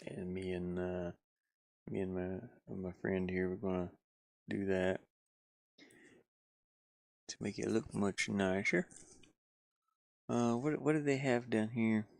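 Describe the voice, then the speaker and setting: Male game dev streamer, gravelly, very dry close sound, hesitant off-the-cuff commentary. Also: fairly low pitch, fairly even intonation, takes it slowly, long pauses, darkish tone, dry recording. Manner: rambling, musing, hesitant. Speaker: game developer live streamer. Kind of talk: casual live stream commentary, thinking aloud.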